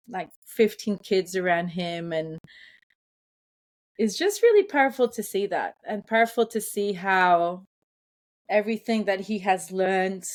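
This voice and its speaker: Slow, thoughtful woman telling personal stories. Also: fairly low pitch. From born in France, grew up partly in Kenya. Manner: hesitant, thoughtful phrasing. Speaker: interview guest, environmental psychologist. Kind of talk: reflective personal storytelling in conversation.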